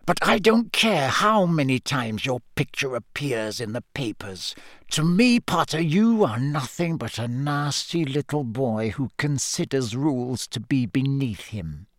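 A man voicing a sneering, coldly contemptuous male schoolteacher in an audiobook, speaking slowly and deliberately with quiet, hissing menace. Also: medium pitch, sing-song, no room sound, clean studio sound. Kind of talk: audiobook character voice.

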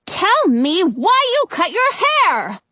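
Woman acting hollering old witch, high-pitched. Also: big pitch swings, a bit bright, no echo, heavily acted. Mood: calling out, commanding. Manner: hollering commands, drawn-out calls. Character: angry old woman witch, bossy and threatening.

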